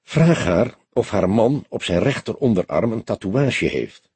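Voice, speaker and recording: Audiobook role: grey-haired veteran detective, weary, pensive and terse, low-pitched, played by a male narrator. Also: animated, darkish tone, breathy edge, dry recording, exaggerated voice. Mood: probing. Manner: measured, pensive, terse questioning.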